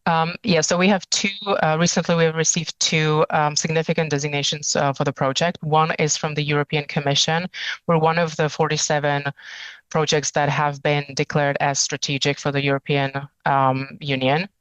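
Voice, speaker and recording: A woman in her forties, raised in the Czech Republic, speaks as a company chief in a steady, level tone. Her voice is smooth and clean, and it sounds close and dry with no room around it. She talks in a measured way and pauses often between phrases. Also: low voice, no room sound, rough recording. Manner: measured, many fillers and pauses. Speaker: company CEO, guest. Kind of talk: business interview answers.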